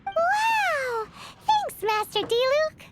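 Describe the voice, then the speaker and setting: A woman voicing a tiny, excitable, childlike fairy companion in a video game, squeaky and full of exclamations. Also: very slow, full character voice. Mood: delighted. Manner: exclamatory, refers to self in third person.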